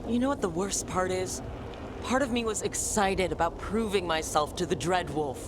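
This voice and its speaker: An actor playing an earnest, wounded and defiant young hero in a video game, speaking bluntly and with emotion. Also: male voice, sits high, normal speed, noisy background, lightly acted. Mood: bitter. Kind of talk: dramatic video game cutscene acting.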